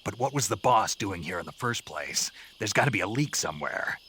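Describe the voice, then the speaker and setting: Gruff, intense special-forces soldier. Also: male voice, fairly low pitch, animated, a little fast, bright, forceful tone. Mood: urgent, bitter. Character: tough male special-forces soldier, wounded pride, intense. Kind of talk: dramatic video game cutscene acting. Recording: clear background noise, outdoor night insects.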